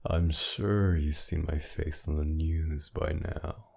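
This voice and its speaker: A man playing a mocking, menacing masked villain kidnapper in an intimate ASMR roleplay, with a gravelly, breathy murmur that often sinks toward a whisper. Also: very deep voice, fairly even intonation, darkish tone, exaggerated voice. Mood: cocky, teasing. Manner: taunting, commanding, slow and teasing.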